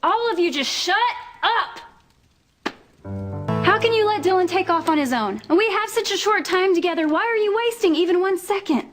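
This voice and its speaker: An actress playing an emotional, grieving young heiress in a soap opera, earnest and halting. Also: female voice, extremely high pitch, expressive. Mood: upset, indignant. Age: young adult. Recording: loud music behind dialogue. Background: music.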